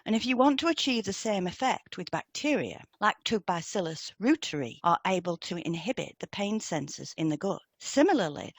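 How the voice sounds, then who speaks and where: A woman's voice: on the low side, no room sound. Woman presenting a lesson, dry sound. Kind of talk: scripted educational narration.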